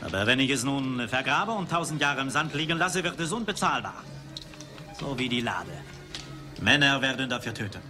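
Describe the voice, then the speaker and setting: An actor playing a suave, cynical rival archaeologist in a dubbed film, speaking in a polished, philosophical way. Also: male voice, medium pitch, expressive, normal speed, close to an everyday voice. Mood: suave, condescending. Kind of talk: dubbed 1980s film dialogue. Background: film music.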